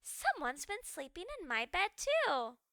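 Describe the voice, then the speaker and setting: A woman's voice: very high voice, wildly swooping pitch, measured pace, pushed and bright, no room sound, studio quality, exaggerated voice. A woman voicing a friendly, easily startled storybook ghost in a theatrical, exclaiming manner. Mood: indignant surprise.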